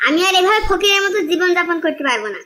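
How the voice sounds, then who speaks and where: A woman's voice: very high-pitched, animated, very fast, pushed and bright, heavily acted. A woman playing a poor, envious wife in a cartoon, complaining with a sarcastic edge. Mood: scolding. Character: discontented, envious, scolding poor fisherman's wife. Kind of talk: cartoon dialogue.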